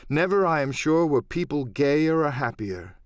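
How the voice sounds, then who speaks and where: A man narrating a classic adventure audiobook in an expressive, dramatic storytelling manner. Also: a little high, normal speed, no echo, studio quality. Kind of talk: dramatic scripted literary narration.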